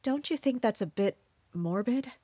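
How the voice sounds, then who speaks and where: A woman playing a guarded, distrustful commander of mercenaries in an audio drama, measured and introspective. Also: fairly high pitch, animated, warm tone, husky, no echo. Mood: guarded, pensive. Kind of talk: audio drama acting with inner monologue.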